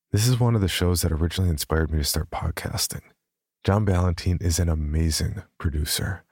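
Male podcast host, very low and husky, reading announcements in a dry, close setting. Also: a little mellow, no echo.

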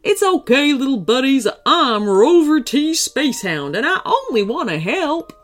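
A woman's voice: high voice, big pitch swings, a little slow, soft background music, pitched above the performer's own voice. A woman voicing a big friendly cowboy dog in a children's story, folksy and helpful in manner. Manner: folksy cowboy drawl phrasing.

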